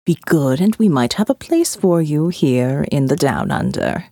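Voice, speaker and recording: A woman voicing a smooth, elegant male captor who calmly lectures his prisoner in an audiobook. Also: deep voice, a little slow, dry recording, studio quality, voice pushed down, full character voice. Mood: smoothly menacing. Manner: calm, smooth and menacing, lecturing. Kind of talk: audiobook character voice.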